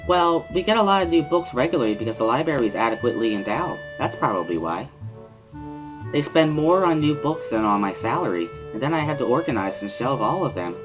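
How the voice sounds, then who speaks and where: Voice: mid-range voice, pitched above the performer's own voice, close to an everyday voice, rough recording. A performer voicing a jittery, over-apologetic young woman librarian in a dramatic reading, flustered and rambling.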